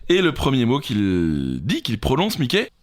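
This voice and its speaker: A man's voice: medium pitch, huge pitch swings, unhurried. A man hosting a quiz podcast in a casual, playful way.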